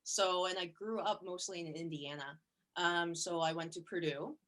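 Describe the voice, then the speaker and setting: A woman's voice: fairly low pitch, fairly even intonation, normal speed, a bit bright. A young woman from Korea, a surgical resident, chatting casually in an interview and filling her answers with "you know" and "like". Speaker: plastic surgery resident.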